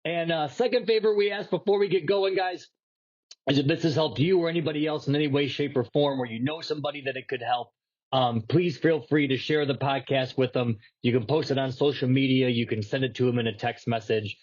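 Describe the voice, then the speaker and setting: A man's voice: medium pitch, a little fast, darkish tone, dull audio. A man co-hosting a fitness podcast in casual chat, congested and nasal from a lingering cold, rambling and cursing loosely. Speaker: podcast co-host.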